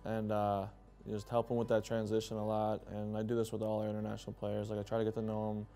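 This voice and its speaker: A young man from Wisconsin with a low, gravelly, dark voice who talks quickly and very flatly. Soft music plays underneath. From Wisconsin, USA. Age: young adult. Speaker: goalkeeper. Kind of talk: documentary interview.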